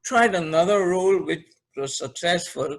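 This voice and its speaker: A man's voice: sits high, normal speed, creaky, low-quality recording. A middle-aged or older man with a Trinidadian accent, speaking conversationally as an interview guest about his own experience. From Trinidad and Tobago. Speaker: podcast guest, leadership trainer. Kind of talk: conversational interview, recounting career.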